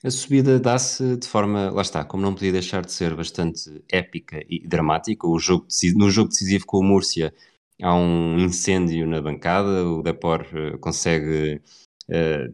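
Low-voiced Portuguese man recounting football history. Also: darkish tone. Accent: European Portuguese. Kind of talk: conversational football history storytelling.

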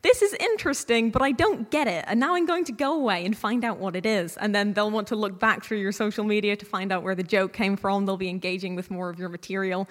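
A woman's voice: medium pitch, fast, few pauses. A woman in her early twenties giving informal, emphatic advice as a guest on a panel. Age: early 20s. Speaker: panel guest on an audio drama podcasting panel. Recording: echoey hall with long reverb.

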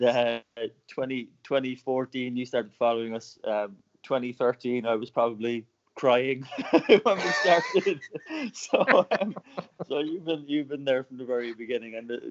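A man from Cork with an Irish accent, telling his founder story as a podcast guest in a relaxed, chatty way. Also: mid-range voice, highs cut off. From Ireland. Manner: chatty, laughs often, many restarts. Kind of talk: casual podcast interview storytelling.

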